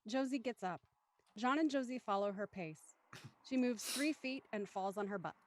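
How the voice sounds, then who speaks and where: A woman's voice: a little high, normal speed, no echo. A woman reading scripted stage directions as the narrator of a drama.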